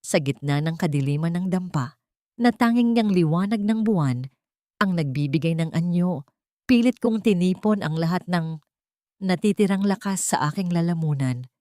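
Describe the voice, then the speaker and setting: A woman's voice: lowish voice, animated, moderate pace, warm tone, no room sound. A woman reading a scripted first-person story in a dramatic storytelling style. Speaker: story narrator.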